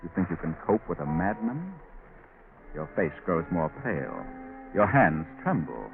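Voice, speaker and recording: A sinister, very low-voiced male narrator taunts and menaces in theatrical old radio style. The sound is tinny and narrow, with music clearly playing behind him. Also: very animated, unhurried, heavily acted. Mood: taunting, menacing. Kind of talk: 1940s radio suspense narration. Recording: tinny band-limited 1940s radio recording.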